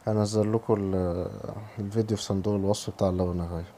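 A hoarse, low-voiced man with an Egyptian accent talks through a home recipe in a casual, step-by-step way. He speaks fast with a dark, mellow tone. Faint kitchen noise sits behind him. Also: steady tone. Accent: Egyptian Arabic. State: hoarse from a cold. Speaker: home cooking channel host. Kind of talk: cooking tutorial voice-over.

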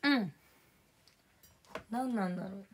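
A young woman chats on a livestream in a hoarse, worn-out voice. She talks casually, drifts from topic to topic, and reads out viewers' names as they come in. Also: mid-range voice, measured pace, very noisy. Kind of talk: casual live stream chat, thanking viewers for gifts.

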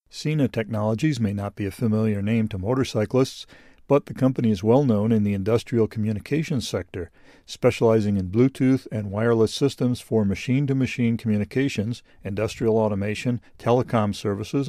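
A man's voice: lowish voice, dark tone, no room sound, studio quality. A man reading scripted narration for a product review video in a plain-spoken, explanatory way.

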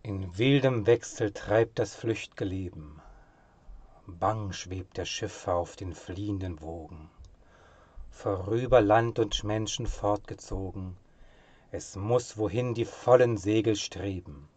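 A man with a low voice recites poetry very slowly and solemnly. His delivery is measured and expressive, easing into hushed phrase endings. The sound is dry, with hardly any room around it. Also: husky, no room sound.

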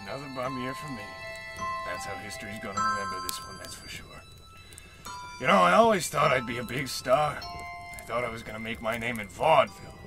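A man playing a wistful, excitable old tramp in a radio comedy, folksy, theatrical and rambling. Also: medium pitch, brisk, over loud music, natural voice. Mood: wistful, grumbling. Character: down-on-his-luck old tramp, failed vaudevillian, wistful and excitable. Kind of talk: old-time radio comedy play acting.

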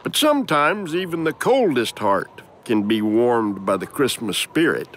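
An actor playing a solemn storybook narrator in a cartoon special, reading scripted narration. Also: male voice, medium pitch, very animated, unhurried, noisy background. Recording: music behind the voice.

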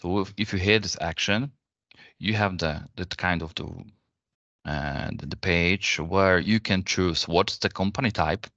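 A deep-voiced man gives an unscripted software demonstration. He explains each step in a halting manner. The sound is dry and a little lo-fi, like online webinar audio. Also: no room sound. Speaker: software presenter.

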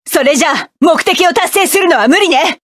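A proud, fierce mecha pilot woman from an anime-style game, snapping out orders and cool, haughty taunts. Her voice sits very high and bright for a woman. It is recorded completely dry, with no room sound at all. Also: animated, normal speed, dry recording. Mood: cold contempt. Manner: sharp commanding battle shouts, cool haughty taunts. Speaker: voice actress for a game character. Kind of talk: game battle voice lines.

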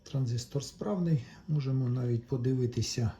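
A man with a soft, dark-toned voice talks viewers through hands-on repair work. His delivery is casual and unhurried, at an average male pitch. A faint layer of ambient noise sits behind him. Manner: unhurried, talks along while working, addresses viewers. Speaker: electronics repair video maker.

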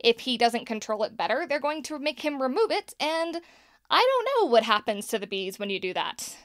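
Woman doing jittery young podcast host, kinda high voice, dry close mic. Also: animated, brisk, smooth, dry recording, close to an everyday voice. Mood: nervous. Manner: nervous, rambling, laughing. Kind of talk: audio drama acting.

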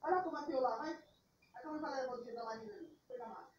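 Muffled, high-pitched Brazilian mother. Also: very fast, breathy edge. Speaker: mother talking to her child. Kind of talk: casual home talk to a small child. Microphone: far from the microphone. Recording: muffled, distant background pickup.

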